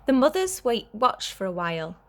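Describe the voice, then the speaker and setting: A woman reading a children's story aloud as a teacher would, in a clear, expressive way for young listeners. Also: a little high, moderate pace.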